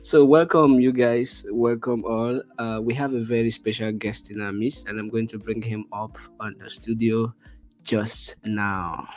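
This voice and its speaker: A man's voice: on the low side, unhurried, soft background music. Chatty Nigerian man hosting a stream. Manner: relaxed, chatty, repeats short acknowledgements. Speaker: livestream host. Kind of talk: casual online interview.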